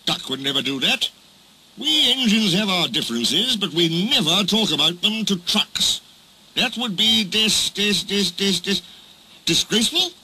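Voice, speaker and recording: Man doing huffy, pompous, high-pitched old-style steam engine. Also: sing-song, normal speed, pushed and bright, heavily acted, low-quality recording. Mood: indignant. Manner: pompous, spluttering, hissing outrage.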